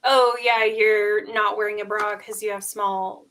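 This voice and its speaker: A woman's voice: medium pitch, flat delivery, unhurried, fairly bright tone. A young woman co-hosting a casual chat, speaking in an agreeable, conversational way. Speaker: podcast co-host.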